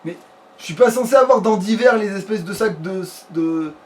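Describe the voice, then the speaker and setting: A man's voice: sits high, moderate pace, a little mellow. A man giving casual let's play commentary, muttering to himself in informal, mildly crude language. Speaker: let's player. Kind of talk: casual gameplay commentary with a friend. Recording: noisy amateur recording.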